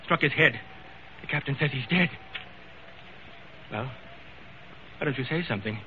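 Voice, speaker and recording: An actor playing a suspicious, arrogant wealthy husband in theatrical, accusing old-time radio drama style. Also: male voice, mid-range voice, lively intonation, moderate pace, lightly acted. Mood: grave, insistent. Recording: dull, hissy old radio recording.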